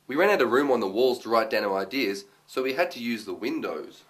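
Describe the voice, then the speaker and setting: A twenty-seven-year-old Australian man explaining his documentary work in a relaxed, thoughtful way, pausing with ums and restarting sentences. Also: mid-range voice. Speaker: documentary filmmaker. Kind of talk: casual explanatory talk to camera.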